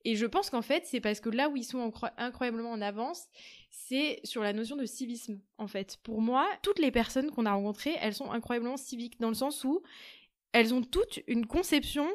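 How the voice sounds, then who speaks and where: Young female guest, fairly high voice, chatty, searching for words. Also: normal speed. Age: 20s. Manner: animated, frequent fillers, trailing off into quiet. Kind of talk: conversational storytelling.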